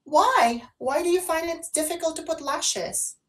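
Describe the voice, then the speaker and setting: A woman's voice: extremely high pitch, a little slow, background noise. A woman co-hosting an online radio show, talking in a casual, conversational style. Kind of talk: casual chat and listener shout-outs.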